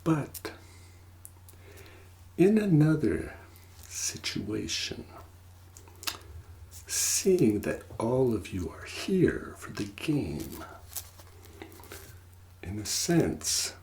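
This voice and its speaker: A man playing a mysterious, courteous manor master and game host in a soft, partly whispered, formal ASMR roleplay voice. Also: medium pitch, very animated, creaky, a little hiss or hum, exaggerated voice. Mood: ominous, cautioning. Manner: slow, deliberate, formal, long pauses.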